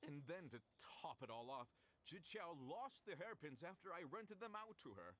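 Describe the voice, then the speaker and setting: A man's voice: a little high, very animated, moderate pace, fairly bright tone. Flustered, stammering merchant man. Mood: anxious, pleading. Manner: stammering, pleading. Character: flustered, cornered male merchant confessing a swindle. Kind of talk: video game voice acting.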